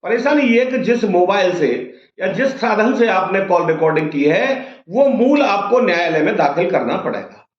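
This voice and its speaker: Man, high voice, didactic legal explainer, question-and-answer delivery, echoey dull recording. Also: expressive, normal speed. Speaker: advocate explaining law to viewers. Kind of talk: informal legal explainer addressed to camera. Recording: dull, roomy, echoing sound.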